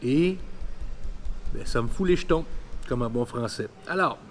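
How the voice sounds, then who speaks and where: A man's voice: medium pitch, animated, a little slow, slight background noise. A man of about fifty with a Quebec French accent, telling a personal story candidly and colloquially in a vlog. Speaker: vlogger.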